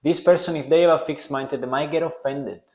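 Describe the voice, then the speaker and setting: A man's voice: on the high side, a little fast, darkish tone. Young italian guy with a thick accent doing his podcast, earnest, kinda roomy echo. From Italy. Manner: earnest, reflective, speaks to the listener directly. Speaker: podcast host. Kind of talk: solo motivational podcast talk.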